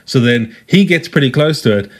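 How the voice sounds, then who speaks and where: A man with a South African accent, hosting a solo podcast in a chatty, joking way with quick asides. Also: mid-range voice, expressive. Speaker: podcast host. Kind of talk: casual solo podcast talk.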